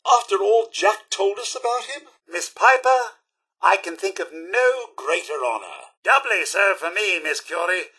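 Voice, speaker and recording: Posh british robot butler for a game, prim and fussy, bright sharp voice, bit raspy. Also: male voice, high-pitched, lively intonation, measured pace, exaggerated voice. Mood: polite, proper. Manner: posh, proper, theatrical butler diction. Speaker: voice actor. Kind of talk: video game companion dialogue.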